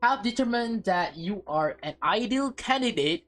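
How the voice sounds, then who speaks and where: A woman's voice: medium pitch, lively intonation, moderate pace, faint music behind, natural voice. A woman voicing a pompous alien scientist who addresses a human formally and condescendingly, read from a visual novel. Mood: grand, formal.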